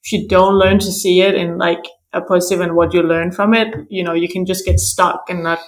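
A woman hosts the conversation in a soft-spoken, attentive way. Her voice carries a gravelly vocal fry, and her intonation stays flat and even. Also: fairly low pitch, brisk. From Denmark. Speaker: podcast host. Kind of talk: interview conversation.

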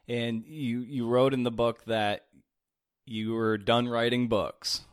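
A man's voice: mid-range voice, flat delivery, slow pace, clear, resonant tone, dry recording, studio quality. A male podcast host, worn out after a night shift, talking in a loose, jokey way with many restarts. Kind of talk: casual podcast interview and outro.

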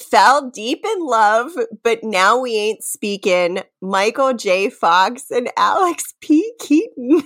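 Giggly, expressive woman podcaster, unhurried. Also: fairly high pitch. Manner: giggly, often breaking into laughter. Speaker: podcast co-host. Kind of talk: playful dramatic reading of lyrics mixed with casual banter.

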